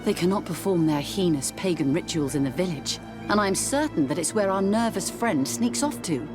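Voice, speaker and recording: Low-voiced, devout religious sister played by an actress. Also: female voice, lively intonation, moderate pace, music in the background, lightly acted. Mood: righteous alarm. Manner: formal, earnest. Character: devout, righteous religious sister hunting heretics.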